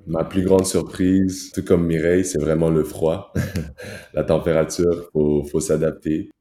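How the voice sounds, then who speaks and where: Very deep, dark-voiced young man, echoey room. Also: measured pace, slight background noise. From Rwanda. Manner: calm, earnest, with hesitations. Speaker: interview guest. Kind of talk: personal testimony in an interview.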